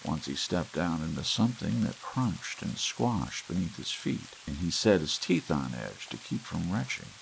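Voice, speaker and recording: A man reading a short story aloud in an ASMR audiobook style. He tells it expressively, rising for tense moments and sinking low and soft for quiet ones. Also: very animated, moderate pace, vocal fry, few pauses, slight background noise, rough recording. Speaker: audiobook narrator. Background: soft rain sounds.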